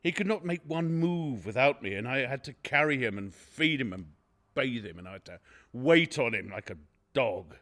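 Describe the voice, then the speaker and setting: An actor playing a nervous, unhinged madman in a gothic radio drama monologue, halting and theatrical in his delivery. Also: medium pitch, expressive, a bit bright, natural voice. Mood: brooding. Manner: halting, stammering, theatrical, swinging from whisper to outburst. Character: nervous, unhinged madman confessing a murder obsession. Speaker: narrator actor in a radio play.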